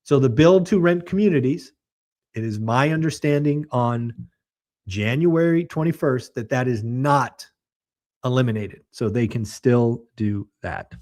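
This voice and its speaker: A man hosting a real estate show, giving blunt, emphatic market commentary in a slightly hoarse voice. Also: mid-range voice, a little slow, darkish tone. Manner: emphatic, blunt and colorful, mocking. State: slightly hoarse, losing his voice.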